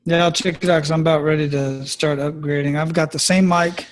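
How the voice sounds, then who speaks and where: A middle-aged man from Arizona speaks in a fairly high voice. He talks easygoing and casually as a podcast co-host, agreeing often with short replies. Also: fairly even intonation, a little fast. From Phoenix, Arizona. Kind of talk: casual chat.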